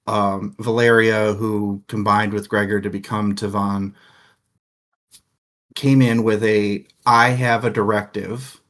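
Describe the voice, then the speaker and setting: A man with a somewhat low voice talks through a book in a relaxed, meandering chat. He circles his points, thinking out loud as he goes. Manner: rambling, thoughtful, lots of "like" fillers. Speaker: book discussion co-host. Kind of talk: casual chat.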